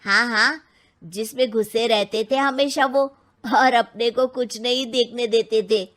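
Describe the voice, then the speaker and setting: Woman as an excitable, high-voiced younger brother. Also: measured pace. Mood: sceptical. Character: excitable, sceptical younger brother, easily scared adult man. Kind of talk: Hindi cartoon story acting.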